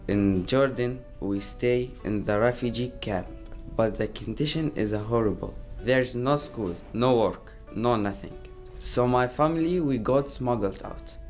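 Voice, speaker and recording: A man from Syria describing his family's refugee journey in plain, halting, non-native English. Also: mid-range voice. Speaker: refugee interviewee. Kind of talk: personal testimony. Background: soft music.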